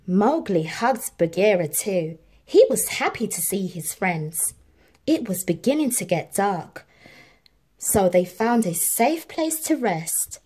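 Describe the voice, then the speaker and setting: Expressive woman reading a children's storybook. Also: medium pitch, slow pace, husky. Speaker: storybook narrator. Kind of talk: scripted children's read-aloud narration.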